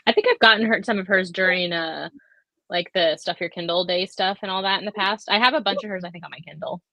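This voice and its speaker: A woman's voice: on the low side, quick pace, gravelly, pushed and bright, no echo, dull audio. A woman co-hosting a book readalong in casual, conversational chat.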